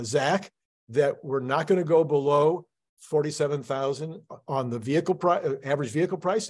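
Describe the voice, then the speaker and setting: A man hosting a channel, talking casually and emphatically as he walks through spreadsheet projections. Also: on the high side. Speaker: host of a finance channel.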